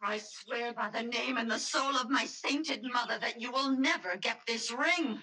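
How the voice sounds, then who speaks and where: A woman's voice: on the high side, a little slow, pushed and bright, far from the mic. An actress playing a defiant, bitter young country woman in a radio drama, vehement, with old-fashioned rustic phrasing. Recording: old radio recording, slightly noisy, off-mic.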